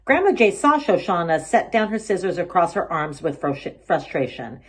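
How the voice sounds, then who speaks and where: A woman reads a children's story aloud in an expressive storytelling style. Her voice is slightly low, and her pitch moves with very lively variation from phrase to phrase. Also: normal speed, background noise. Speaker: storybook reader.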